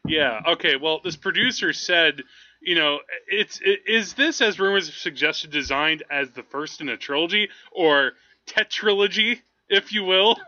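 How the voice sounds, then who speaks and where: A man co-hosting a podcast, chatting casually and jokily about movies, quick to laugh. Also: a little high, sharp tone, muffled recording. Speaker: podcast co-host.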